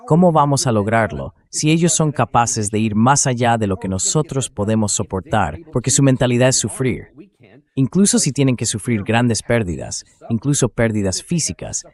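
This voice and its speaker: A man's voice: medium pitch, lively intonation, moderate pace. A man hosting a political commentary show, explaining his points emphatically and addressing viewers directly.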